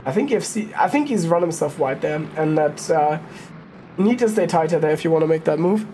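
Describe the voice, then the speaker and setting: A woman's voice: deep voice, lively intonation, brisk, warm tone. Woman commentating, engine noise behind. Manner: informal, thinking aloud, hesitant self-correcting. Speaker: sim racing commentator. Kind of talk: casual live race commentary.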